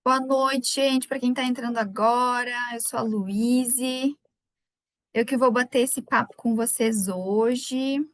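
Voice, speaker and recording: A woman from southern Brazil with a faint Gaúcho lilt speaks with a slightly high, friendly voice. She runs a live online talk in a casual, chatty way. The sound is dry and close, as from a computer microphone. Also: steady tone, takes it slowly, no room sound. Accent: light Gaúcho Brazilian Portuguese. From Rio Grande do Sul, Brazil. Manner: chatty, warm greetings to viewers by name. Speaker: webinar speaker.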